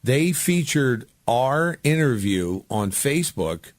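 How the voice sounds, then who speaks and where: Male radio host, mid-pitched, chummy and informal, casual interview chat, dry close sound. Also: sing-song, slow pace, dry recording.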